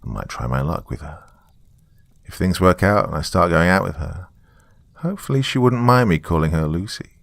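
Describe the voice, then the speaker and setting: A man's voice: sits low, expressive, moderate pace, gravelly, no room sound. A man who narrates horror stories and hosts, speaking as a storyteller. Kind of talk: dramatic first-person story narration and casual sign-off.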